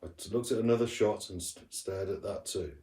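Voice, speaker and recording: A man's voice: lowish voice, brisk, warm tone. A man reading a storybook aloud as a teacher would to pupils, expressively and with the odd stumble. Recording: roomy echo, distant from the mic.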